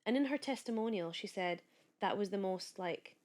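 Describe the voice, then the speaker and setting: A woman in her late thirties who grew up in Kuwait, talking as a podcast guest. She chats in a lively, rambling way, full of "like"s and restarts, with a pitch in the middle range for a woman. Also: moderate pace. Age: late 30s. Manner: chatty and animated, many "like"s and restarts. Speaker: podcast guest, wellness coach. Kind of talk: casual chat.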